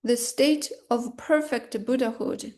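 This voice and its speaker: A woman leading a guided meditation and reciting prayers in a measured, solemn manner, in short phrases with long pauses. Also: a little high, warm tone.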